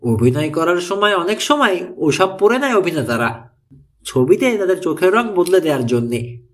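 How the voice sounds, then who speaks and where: Male audiobook narrator for mystery thriller, fairly high pitch, lively intonation. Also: normal speed.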